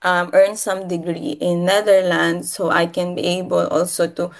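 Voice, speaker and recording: A woman from the Philippines with a Filipino accent and Filipino as her first language, talking casually and haltingly, often repeating and rephrasing her phrases. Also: lowish voice, little pitch movement, creaky. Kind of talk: casual conversational personal talk.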